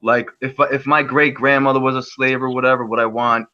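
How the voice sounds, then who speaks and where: Man, from New York, casual livestream chat, stammering restarts, bright tense tone. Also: mid-range voice, flat delivery, a little fast, muffled recording. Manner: profane, rambling, lots of restarts. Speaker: livestream guest. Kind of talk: casual group call chat.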